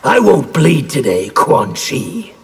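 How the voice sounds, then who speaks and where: An actor playing an angry, defiant warrior who feels betrayed, in aggressive fighting-game dialogue. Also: male voice, on the high side, wildly swooping pitch, slow, creaky, exaggerated voice. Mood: defiant threat. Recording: loud music behind.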